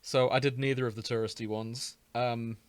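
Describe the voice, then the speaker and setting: A man's voice: medium pitch, fairly even intonation, moderate pace, clear, resonant tone, no echo. A British man hosting a podcast, chatting casually in a wry, self-deprecating way. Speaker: podcast host. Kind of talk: casual chat.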